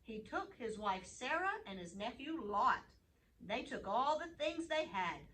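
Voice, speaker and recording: A woman hosting a children's Bible story time, animated as she reads and chats directly to young listeners. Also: on the high side, a little slow. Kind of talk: reading bedtime Bible stories to children, chatting with a puppet. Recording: distant mic, faint background noise.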